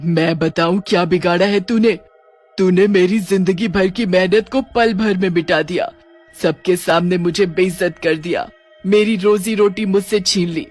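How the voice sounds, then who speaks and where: A woman voicing the vengeful, accusing ghost of a wronged woman in an animated horror story. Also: fairly low pitch, unhurried. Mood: furious, accusing. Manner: accusing, threatening. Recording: music under the voice.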